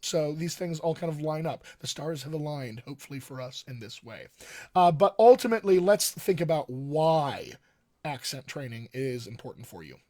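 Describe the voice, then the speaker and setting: A man's voice: a little high, expressive, moderate pace, a little mellow. A man in his thirties with a mostly General American accent, raised in Colorado, giving an enthusiastic live-streamed coaching talk from a home study and repeating his key points. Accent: General American with light West Texas and upstate New York features. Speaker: dialect coach. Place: home study with bookshelves, indoors.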